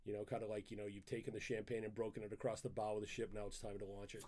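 A man's voice: lowish voice, little pitch movement, rapid-fire, darkish tone. A middle-aged man with a Maine accent, a guest on a casual podcast, talking in a wordy, mock-formal and playful way. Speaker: guest, host's father.

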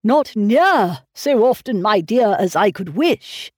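Fretful elderly gentleman, mournful and fussy, read by a woman, dry close sound. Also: mid-range voice, animated, takes it slowly, no echo. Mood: hesitant.

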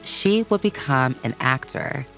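A woman's voice: extremely low pitch, lively intonation, unhurried, a bit bright. A woman reading a scripted children's story as a narrator. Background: music.

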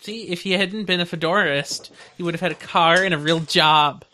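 A man's voice: sits high, normal speed, bright, forceful tone. A man co-hosting a podcast in casual banter, with a dry, teasing manner. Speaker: podcast co-host.

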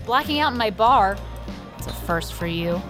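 A woman plays a fed-up bar owner with a mid-pitched voice. She is blunt and scolding, natural as modern audio drama dialogue, with music clearly behind her. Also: animated, natural voice. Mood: exasperated. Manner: brisk, scolding, no-nonsense.

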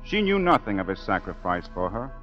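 An actor playing a solemn storyteller who narrates deliberately in the scripted style of 1940s radio drama. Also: male voice, fairly low pitch, very animated. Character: smooth, solemn male storyteller recalling a tragic tale. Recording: dull old 1940s radio broadcast. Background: music.

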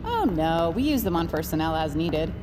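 An actress playing a calculating, controlling corporate scientist boss in an audio drama, composed, authoritative and guarded. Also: female voice, on the low side, big pitch swings, normal speed, noisy background. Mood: guarded explanatory.

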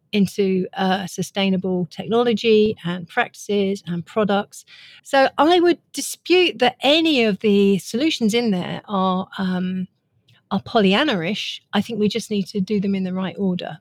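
A woman's voice: medium pitch, a little slow, no echo. A woman, speaking as an author being interviewed, explaining ideas in an earnest, articulate way. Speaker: author and guest.

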